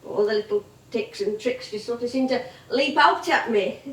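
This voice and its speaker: An actress playing an elderly English music hall comedienne on stage, chatty, rambling and nostalgic. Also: female voice, a little high, moderate pace, a bit bright, natural voice. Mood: wry reminiscing. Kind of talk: theatrical stage monologue and dialogue. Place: theatre stage. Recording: echoey theatre, distant mic.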